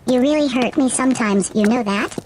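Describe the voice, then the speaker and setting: A woman's voice: a little high, brisk, lightly acted. A woman playing a plaintive, put-upon female robot sidekick in an audio drama. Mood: wounded. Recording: clear noise and clicks behind.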